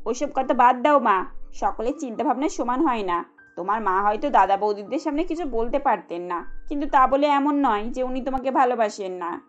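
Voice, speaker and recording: Woman playing a high-voiced, kindly mother-in-law. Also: animated, a little fast, lo-fi sound, soft background music, natural voice. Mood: reassuring. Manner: motherly, gently insistent. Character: kindly, caring middle-aged mother-in-law, protective and reassuring.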